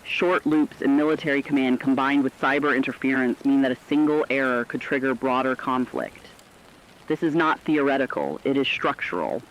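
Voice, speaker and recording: A man's voice: medium pitch, moderate pace, a bit bright, close to an everyday voice, low-quality recording. A man playing a serious radio war analyst, giving scripted emergency news commentary in a formal, emphatic way. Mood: serious, urgent.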